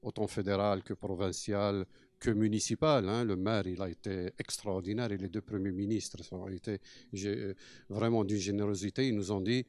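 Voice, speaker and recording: A man's voice: fairly low pitch, fairly even intonation, normal speed, a little mellow, no echo. A man speaking as cofounder of a cultural centre, giving an earnest testimony in a mosque and mixing Arabic phrases into his French.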